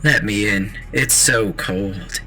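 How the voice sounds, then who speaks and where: A man voicing an inhuman creature that imitates a dead mother, pleading in a slow, drawn-out whisper. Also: lowish voice, pushed and bright, slight background noise. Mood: eerie pleading.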